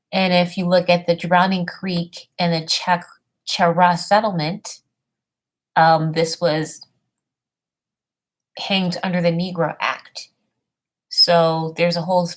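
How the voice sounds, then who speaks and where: A woman talking casually about history research and then reading an old text aloud haltingly, often stumbling over hard words and names. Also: fairly low pitch. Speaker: self-made history presenter.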